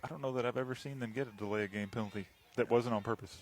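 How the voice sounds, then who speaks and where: A man's voice: mid-range voice, racing, low-quality recording. Easygoing man, wry sports sidekick. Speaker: sports co-commentator. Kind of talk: casual radio banter and colour commentary. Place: outdoor football field sideline.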